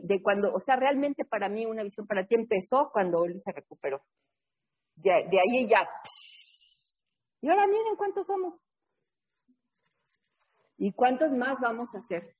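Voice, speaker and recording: Lively woman leading a support meeting. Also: on the high side, breathy edge, muffled recording. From New England, US. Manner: lively, keeps checking in with a tag question. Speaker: recovery workshop leader and sponsor. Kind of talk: casual storytelling talk in an online support meeting.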